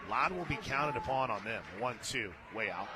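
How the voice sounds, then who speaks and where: An American male radio announcer calls softball live from an outdoor booth. His delivery is conversational, dropping into short, clipped play calls, with clearly audible stadium noise behind him. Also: medium pitch, moderate pace. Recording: very noisy outdoor ballpark booth.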